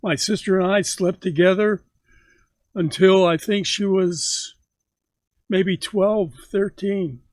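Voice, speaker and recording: Elderly man in his 90s, slow and halting, slightly high voice, dry close sound. Grandfather recounting memories. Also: fairly even intonation, darkish tone, dry recording. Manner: slow, halting recollection with many pauses. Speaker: grandfather telling stories. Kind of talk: casual family interview.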